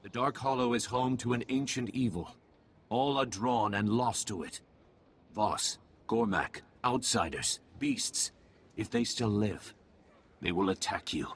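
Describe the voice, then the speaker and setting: A man voicing a grave, calm alien mystic in a video game, giving terse, ominous pronouncements in a husky, creaky voice. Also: fairly low pitch, a little slow, exaggerated voice. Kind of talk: video game cutscene acting.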